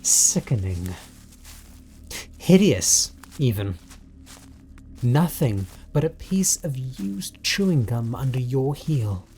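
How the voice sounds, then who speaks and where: A man with a British accent playing an obsessive, bitter spurned stalker in a horror audio roleplay, speaking in a deliberate, coldly taunting way. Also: mid-range voice, very animated, dark tone, slight background noise. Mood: resentful, wounded.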